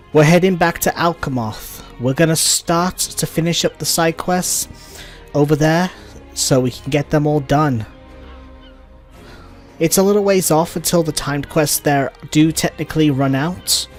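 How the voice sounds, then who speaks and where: A man with a fairly high voice gives casual let's play commentary. He addresses viewers as the host of a game walkthrough. Soft music plays faintly behind him.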